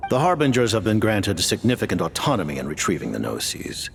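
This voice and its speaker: A man voicing a stern, formal high-ranking commander who speaks in measured, grave tones in a game. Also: wildly swooping pitch, creaky, a little hiss or hum, exaggerated voice. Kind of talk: video game voice acting. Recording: game audio with music.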